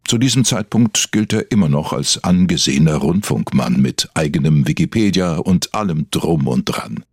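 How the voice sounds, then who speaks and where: Very deep-voiced, self-serious podcast investigator. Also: male voice, slightly rough, no echo, clean studio sound, heavily acted. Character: investigative influencer narrating a mock true-crime podcast, self-serious. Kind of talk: scripted documentary narration, satirical.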